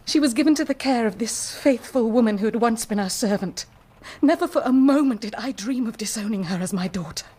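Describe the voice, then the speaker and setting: Anguished, dignified wife, slightly husky. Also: female voice, fairly high pitch, lively intonation, dark tone. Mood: proud, tender. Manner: formal, deliberate, impassioned, declamatory. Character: anguished, dignified married woman confessing a secret child. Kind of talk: period radio drama acting.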